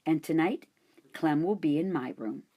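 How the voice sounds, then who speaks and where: A woman voicing a calm mother who speaks low and quietly reassuring in a storybook reading. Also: normal speed, slightly rough, muffled recording. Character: calm, firm mother of a girl.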